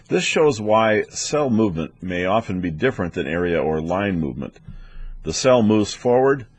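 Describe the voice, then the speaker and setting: A man's voice: lowish voice, breathy edge, dry recording. A man reading scripted training narration as an instructor, in a methodical, explanatory manner. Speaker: weather instructor for air traffic controllers.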